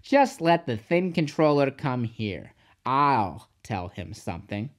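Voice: medium pitch, very animated, takes it slowly. Narrator doing a pompous, grumbling old engine. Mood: haughty, grumbling. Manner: haughty, grumbling. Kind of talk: children's storybook character voice.

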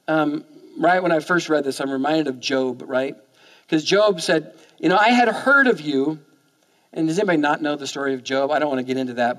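A man in his forties preaches from a church stage into a headset mic. His voice sits a little high for a man, and he talks in a loose, conversational way, stumbling over repeated words as he starts his sentences. A long reverb from the hall hangs behind him. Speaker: pastor. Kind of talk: sermon.

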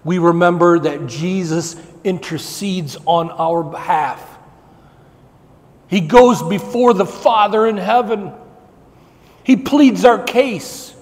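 A middle-aged male pastor preaching a sermon in a church sanctuary, building emphasis with slow, repeated phrases. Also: sits high, a little mellow. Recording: long reverb of a church hall.

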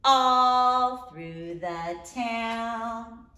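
A middle-aged woman with a fairly high voice reads a picture book to young children in a sing-song lilt. She goes very slowly, stretching her phrases deliberately. The room around her adds a clear echo. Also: pushed and bright, soft background music. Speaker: early learning teacher reading aloud. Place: indoor room in front of a display board. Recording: roomy, echoing indoor sound.

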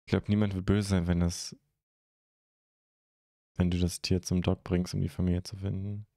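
A young man from Germany hosting a live stream, chatting casually and haltingly as he answers viewer comments into a close microphone. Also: deep voice, level tone, mellow, dry recording. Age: young adult. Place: indoor streaming room at home. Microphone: close microphone on a stand.

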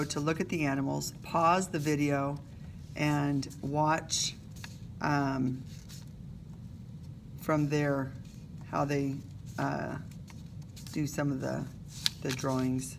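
A woman's voice: sits low, creaky, background noise. A woman teacher casually talking students through an art project while she works, self-deprecating and full of little okays and ums. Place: indoors at a table, recording her hands from above.

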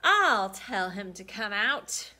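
Mama Frog: fearless, firm storybook mother, slow and lively in pitch, played by a woman. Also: full character voice. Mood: calm. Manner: unbothered, firm, teasing count.

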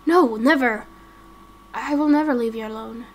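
A woman voicing a gentle, hesitant, protective young father in an amateur game roleplay. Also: on the high side, normal speed. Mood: tender, protective.

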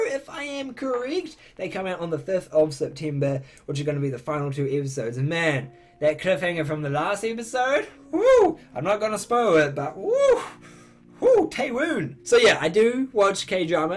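An eighteen-year-old man answering fan questions in a casual, excitable vlog from his bedroom, with his voice jumping high whenever he gets enthusiastic. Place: bedroom, indoors.

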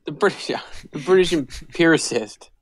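A man's voice: fairly high pitch, animated, a little slow, no room sound. A man co-hosting a podcast, talking casually and explaining things in a loose, joking way. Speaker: podcast co-host.

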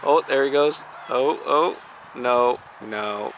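A man's voice: medium pitch, slow. A man, a father on a family day trip, teasing his family with coy answers, first in a car and then outdoors. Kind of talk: casual family chat. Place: inside a car, then outdoors at a roadside attraction. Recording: noisy handheld camera audio.

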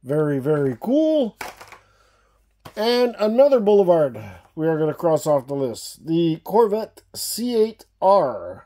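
A man's voice: on the high side, warm tone, slight background noise. A man hosting a casual unboxing vlog, chatty and enthusiastic, with a slight cough, calling his viewers guys. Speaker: diecast collector channel host. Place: indoor room with shelves of toy cars.

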